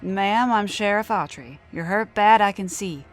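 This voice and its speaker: A woman acts out a rural sheriff in a horror tale. He speaks up firmly yet calmly, courteous and folksy, reassuring with caution, as music plays faintly behind. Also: mid-range voice, measured pace, pitched above the performer's own voice, natural voice. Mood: calm reassurance. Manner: calm, firm, folksy. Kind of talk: dramatised horror storytelling.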